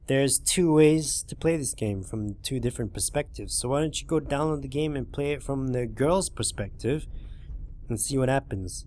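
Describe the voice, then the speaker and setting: A man's voice: medium pitch, mellow. A man hosting a let's play, talking casually and informally in a rambling way, searching for words as he signs off. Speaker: let's play host reading a visual novel. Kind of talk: casual video commentary and sign-off. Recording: music behind the voice. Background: game music.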